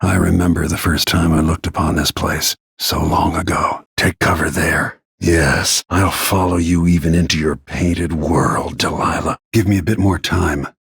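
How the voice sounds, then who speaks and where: An actor playing a grim, vengeful middle-aged assassin in a video game, brooding in a gravelly, husky voice with low-key menace. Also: male voice, fairly even intonation, measured pace, few pauses, fairly bright tone, dry recording, clean studio sound, exaggerated voice. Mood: brooding, resolute. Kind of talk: dramatic game voice lines, brooding inner monologue.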